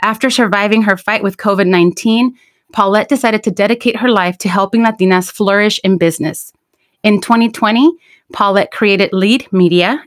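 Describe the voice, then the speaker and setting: A woman hosting a podcast, introducing a guest and asking measured, friendly questions. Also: mid-range voice. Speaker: podcast host.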